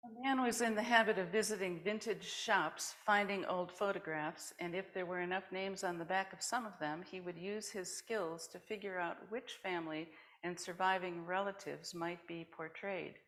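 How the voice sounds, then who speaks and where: A woman reads scripted stories and announcements to a congregation as the leader of a worship service. Her voice sits a little lower than most women's. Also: fairly even intonation, moderate pace, fairly bright tone, low-quality recording.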